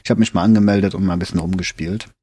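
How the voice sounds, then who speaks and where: A man co-hosting a podcast in relaxed, casual chat, explaining things plainly and laughing easily. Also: sits low, rapid-fire, husky, no echo. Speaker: podcast co-host.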